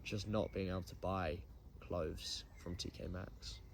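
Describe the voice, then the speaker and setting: Young guy, lowish and nasal with hay fever, rambling solo podcaster, faint hum behind. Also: steady tone, a little slow, gravelly. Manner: rambling, self-deprecating, many ums. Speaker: podcast host. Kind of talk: casual solo podcast chat.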